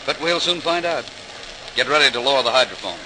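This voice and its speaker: Wry, no-nonsense male navy man actor, middle register, old-time radio style, clearly noisy recording. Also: mid-range voice, expressive, quick pace, close to an everyday voice. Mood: brisk, commanding. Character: brisk, wry male navy shipmate giving orders. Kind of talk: 1940s-style radio docudrama acting.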